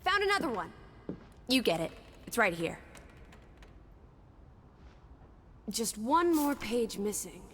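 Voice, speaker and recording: A woman's voice: on the high side, sing-song, moderate pace, fairly bright tone, big-room sound, a little hiss or hum. A woman playing a sociable, teasing young companion in a 1920s video game drama, full of playful old-fashioned slang.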